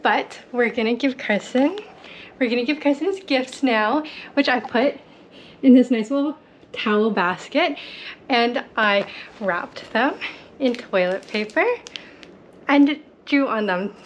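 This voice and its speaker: A woman's voice: fairly high pitch, measured pace, long pauses. A woman chatting casually and informally to camera as a travel vlogger. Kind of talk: casual vlog chat to camera. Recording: noisy travel recording with traffic and ambience.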